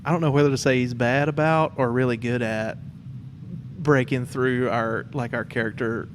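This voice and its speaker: A man's voice: medium pitch, fairly even intonation, moderate pace, a little hiss or hum. A man hosting a podcast in a casual, conversational interview style. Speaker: podcast host.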